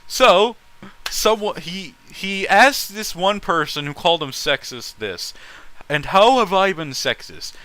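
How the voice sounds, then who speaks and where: A man's voice: high-pitched, lively intonation, a little slow, bright, forceful tone, clean tone, dry recording. A man in casual, opinionated stream chat, talking bluntly and swearing freely.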